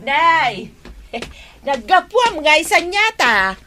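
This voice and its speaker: A woman's voice: sits high, big pitch swings, drawn-out words, bright, forceful tone, soft background music, exaggerated voice. A woman with a Filipino accent playing a nosy, teasing, meddling auntie in a radio drama, gossipy and full of exclamations. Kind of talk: Ilocano radio drama acting.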